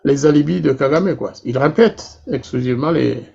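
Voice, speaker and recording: Casting: male talk-show interviewer, gravelly, hesitant, many fillers, dull lo-fi sound. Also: on the high side, normal speed, darkish tone. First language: not English. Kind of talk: political interview questions.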